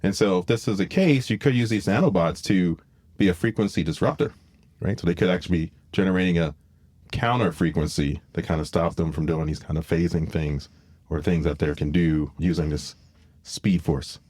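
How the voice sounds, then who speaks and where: A man's voice: low voice, brisk, darkish tone, breathy edge, no room sound. A man hosting a podcast, explaining things in an informal, rambling way with self-corrections. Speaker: podcast host, biologist. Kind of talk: casual explanatory podcast talk.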